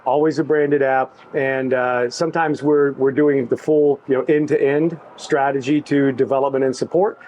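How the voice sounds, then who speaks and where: A middle-aged man talking about his work in an easygoing, halting way, with many restarts. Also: mid-range voice, steady tone, a little mellow, highs cut off. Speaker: tech executive, interviewee. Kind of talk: casual backstage interview.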